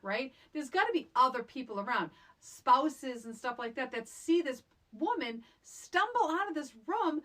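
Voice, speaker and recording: A woman's voice: high-pitched, expressive, measured pace, hardly any pauses, fairly bright tone. A woman co-hosting a podcast, chatting casually and reacting with emphatic exclamations. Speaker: podcast co-host. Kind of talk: casual chat, reacting to co-host.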